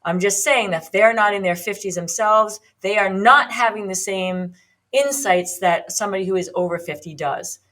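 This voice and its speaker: A woman's voice: medium pitch, moderate pace, a bit bright. A woman in her late fifties hosting a podcast as a fitness trainer, talking in a rambling, chatty way with frequent ums and restarts. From United States. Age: 50s. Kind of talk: solo podcast talk.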